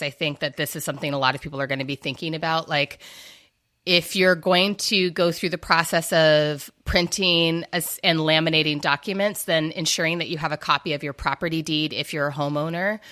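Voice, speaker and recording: A woman with a low-pitched voice that has a bright, forceful edge. She offers practical advice in a podcast conversation, explaining things methodically in fairly formal words. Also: fairly even intonation, fairly quick. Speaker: podcast co-host giving advice.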